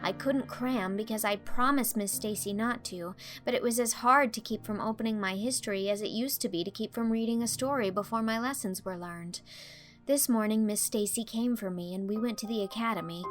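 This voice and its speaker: A woman's voice: mid-range voice, fairly quick, faint music behind, close to an everyday voice. A woman playing a dramatic, anxious, chatty teenage schoolgirl in a storybook reading, speaking earnestly and wordily. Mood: earnest, breathless.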